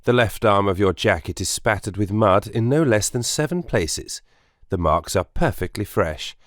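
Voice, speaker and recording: An actor playing a courteous, self-assured Victorian gentleman detective in an audiobook drama, precise and soothing. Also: lowish voice, animated, moderate pace, no room sound, studio quality, close to an everyday voice. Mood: confident, deductive. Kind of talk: full-cast audiobook drama acting.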